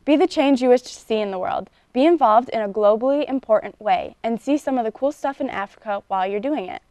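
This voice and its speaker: A woman's voice: on the high side, brisk, darkish tone, no echo, studio quality. Young woman reading school announcements. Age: young adult. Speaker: student news anchor. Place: studio with curtain backdrop.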